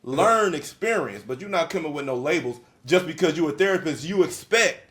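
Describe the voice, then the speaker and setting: Blunt, slangy man, fairly high voice. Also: lively intonation, a bit bright. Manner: blunt, emphatic, drawn-out stresses, slangy. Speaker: podcast host. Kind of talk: casual podcast conversation.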